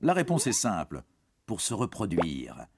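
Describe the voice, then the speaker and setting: A man's voice: low-pitched, huge pitch swings, unhurried, gravelly, music in the background. A man reading scripted educational narration for children, explaining things in a reassuring way and speaking directly to the young listener.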